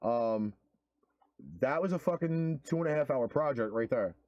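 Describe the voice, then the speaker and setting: A man's voice: mid-range voice, moderate pace, a little mellow, no room sound, lo-fi sound. A man co-hosting a casual podcast, earnestly making a case with some swearing. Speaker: co-host.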